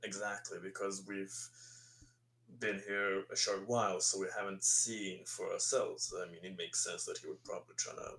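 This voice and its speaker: A man playing a tabletop roleplaying game, speaking casually in character in a halting, hesitant way with many fillers. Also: low-pitched, flat delivery, creaky, pushed and bright, rough recording. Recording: faint background noise and hum.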